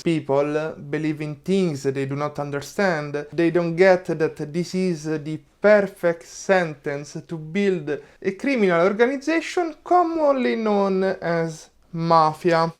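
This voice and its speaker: A man's voice: fairly high pitch, measured pace, hardly any pauses, smooth. A young adult man from Italy with an Italian accent, explaining history in a casual vlog with sarcastic asides and chuckles. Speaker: vlogger.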